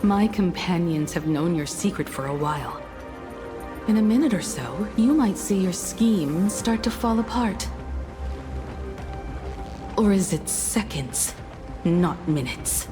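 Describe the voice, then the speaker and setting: A resolute video game heroine, facing down her enemy. Her voice sits a little low, and she speaks slowly and firmly, weighing each phrase with stern confidence. Prominent music runs underneath. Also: lively intonation. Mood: defiant, confident. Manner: deliberate, firm, confrontational, measured. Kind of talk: dramatic video game voice acting. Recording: loud game music behind. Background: game soundtrack music.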